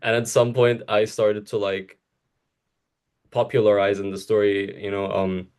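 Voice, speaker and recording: A young man with a fairly low voice, chatting casually as a co-host. He is from Egypt and his first language is Arabic. He rambles through restarts and laughs a lot. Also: flat delivery, measured pace, darkish tone, clear, resonant tone. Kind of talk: casual conversation.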